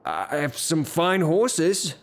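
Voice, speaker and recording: An actor playing a blustering, lovestruck, hot-tempered gentleman landowner in an audio drama, with a halting, theatrical delivery. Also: a little high, sing-song. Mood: frantic, infatuated. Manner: theatrical, halting, repeats himself.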